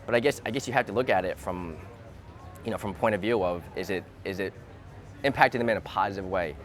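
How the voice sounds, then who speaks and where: A man from the United States talking through his views in a relaxed conversation, hesitant and repeating words as he thinks aloud, recorded outdoors. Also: low-pitched, quick pace. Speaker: interviewee. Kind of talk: thoughtful casual conversation. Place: outdoors in a city.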